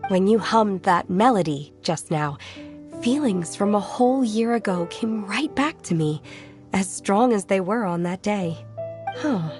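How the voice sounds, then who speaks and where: A woman's voice: medium pitch, expressive, unhurried, lightly acted. Game role: serene, stoic disciple, female actor. Mid-range, earnest, formal phrasing, faint music bed. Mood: wistful. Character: calm, stoic, solemn young female disciple of immortals. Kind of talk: video game character acting. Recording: music playing behind.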